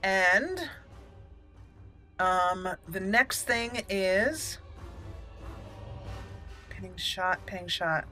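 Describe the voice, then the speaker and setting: A woman hosts a casual let's play in a bright, clear-cut tone at a middling pitch. She thinks aloud and often repeats words as she talks through the game. Music plays faintly behind her. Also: animated, very slow, long pauses. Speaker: gaming channel host.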